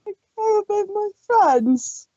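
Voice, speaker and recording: A bubbly young female pony, voiced by a woman, high and lively, her voice wavering as she pleads. Slow and stumbling, as if close to tears. The recording is dry and a bit muffled. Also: dry recording, full character voice. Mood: pleading. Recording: dull dry lo-fi stream audio.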